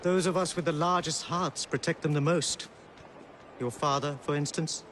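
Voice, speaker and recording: A man's voice: a little high, steady tone, moderate pace, fairly bright tone, slight background noise, natural voice. A man playing a dignified, shrewd Indian prince, courtly and formal, in a video game cutscene. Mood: reflective, kindly.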